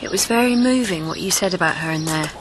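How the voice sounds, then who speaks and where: An actress playing a brisk young television assistant in a radio drama, patient, tactful and firm. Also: female voice, on the low side, background noise, natural voice. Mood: brisk, gentle. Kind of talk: broadcast radio drama acting.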